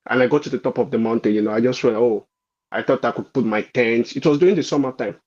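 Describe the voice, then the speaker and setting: A man's voice: mid-range voice, fast, darkish tone. Informal man chatting on dull, muffled audio. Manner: informal, frequent repeats and quoted asides. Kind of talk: casual conversational storytelling.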